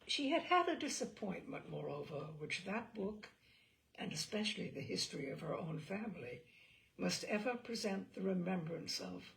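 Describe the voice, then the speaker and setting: A woman narrating a literary novel in an expressive, measured storytelling manner with deliberate pauses. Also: medium pitch, creaky, a little hiss or hum, rough recording. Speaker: audiobook narrator.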